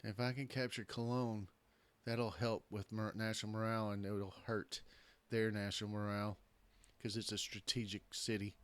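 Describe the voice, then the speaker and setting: Hoarse man thinking aloud over gameplay. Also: on the low side, flat delivery, moderate pace. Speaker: gameplay commentator. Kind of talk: casual let's-play commentary.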